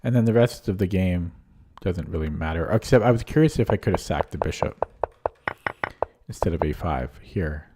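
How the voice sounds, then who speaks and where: A man's voice: low-pitched, animated, moderate pace, heavy background noise. A man losing his voice, reviewing his chess game aloud in a casual, hesitant way with frequent ums and restarts. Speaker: chess streamer.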